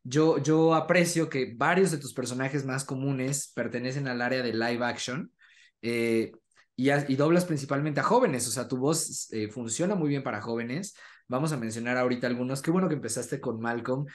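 A man's voice: mid-range voice, normal speed. A man, hosting a casual interview from a small room on a desktop microphone. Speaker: interviewer, host. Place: small home room indoors. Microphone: desktop microphone beside him.

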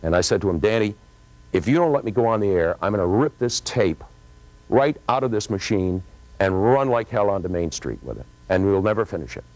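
A man's voice: fairly low pitch, big pitch swings, fairly quick, a little mellow, no room sound, low-quality recording. A middle-aged man telling a story to camera in a dramatic way, acting out remembered dialogue. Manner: dramatic, acts out quoted dialogue. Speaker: former radio program director recalling a broadcast. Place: TV studio stage.

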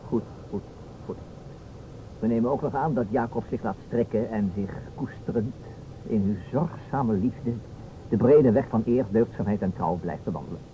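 An actor playing a sceptical, playfully argumentative police inspector in a Dutch radio play, wry and declamatory. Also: male voice, on the low side, normal speed, lightly acted. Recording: dull old radio recording, faint noise.

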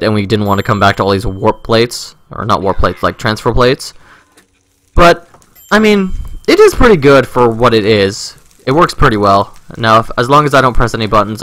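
A man's voice: mid-range voice, a bit bright, dry recording. A man giving casual, informal let's-play commentary, rambling and thinking aloud into a close microphone. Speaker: gaming video host.

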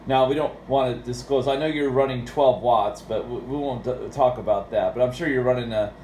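A man's voice: medium pitch, brisk, darkish tone. An American man chatting casually and at rambling length about technical matters as a radio operator. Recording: roomy, with background radio noise.

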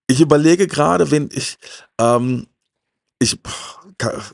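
A man's voice: fairly high pitch, slow pace, gravelly, dry recording, clean studio sound. A man, hosting a casual, enthusiastic fan chat and repeating words for emphasis. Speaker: host of a retro TV talk show. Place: small indoor room with shelves of media. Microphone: handheld microphone.

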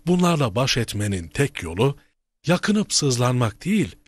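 Husky, folksy cartoon grandfather. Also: medium pitch, very animated, a little slow, no echo. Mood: wry, instructive. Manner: folksy, theatrical storytelling. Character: kindly old grandfather telling a moral tale. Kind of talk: children's cartoon storytelling.

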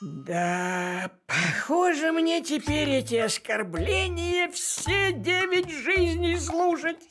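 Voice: sits high, expressive, slow, a bit bright, faint music behind, heavily acted. An actor voicing a fussy, grumbling male house cat with a querulous, aggrieved manner in a children's cartoon.

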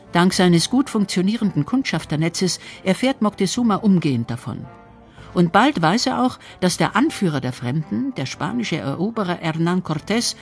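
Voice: mid-range voice, creaky. Documentary narrator with music behind.

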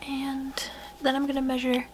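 A young woman speaking softly, often in a whisper, in a gentle, unhurried ASMR personal-attention roleplay, murmuring close to the listener. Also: high voice, monotone, slight background noise. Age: young adult. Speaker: ASMR artist roleplaying a portrait painter.

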